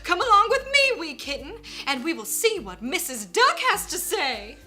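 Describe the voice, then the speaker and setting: A woman voicing a fretful, dramatic mother cat with meows and drawn-out exclamations, for a children's storybook. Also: very high-pitched, sing-song, bright, forceful tone, soft background music, heavily acted. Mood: weary dismay.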